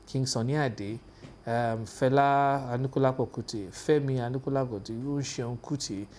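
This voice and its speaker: A man's voice: medium pitch, fairly even intonation, moderate pace, a little mellow, a little hiss or hum. A man in his thirties with a Nigerian accent, co-hosting a television talk show and speaking hesitantly, with many fillers and restarts. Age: 30s. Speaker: co-host. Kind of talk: casual panel chat. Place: television studio.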